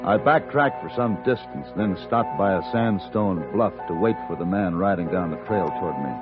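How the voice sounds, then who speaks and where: An actor playing a cool, self-assured western gunfighter in 1950s radio drama, dry and unhurried in manner. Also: male voice, sits low, lightly acted. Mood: narrating. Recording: dull vintage radio broadcast with music.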